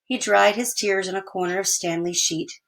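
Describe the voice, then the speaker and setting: A woman reads a children's storybook aloud. Her voice is creaky at a middling pitch, and her narration is expressive. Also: normal speed, rough recording. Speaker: story reader.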